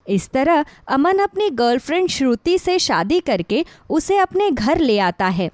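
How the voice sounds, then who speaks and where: A woman's voice: high voice, sing-song, a little slow, no echo, studio quality. A woman reading scripted narration for a moral bedtime story.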